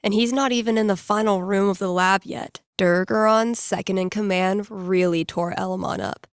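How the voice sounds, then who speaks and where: A woman's voice: medium pitch, steady tone, normal speed, clean tone, no echo, clean studio sound, close to an everyday voice. An actress playing a wry, slangy young woman who DJs and runs tabletop games, in a video game. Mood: wry, casual. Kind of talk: video game character inner monologue.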